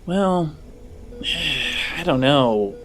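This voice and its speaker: A man voicing a young orphan boy in a tabletop game, hesitant and halting in his speech. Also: fairly high pitch, animated, a bit bright, exaggerated voice. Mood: puzzled, hesitant.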